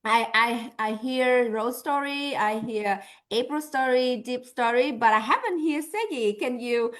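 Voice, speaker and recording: A woman with a fairly high voice hosts a casual live talk. She speaks informally as she puts questions to the panelists. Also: lively intonation, measured pace, fairly bright tone. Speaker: host.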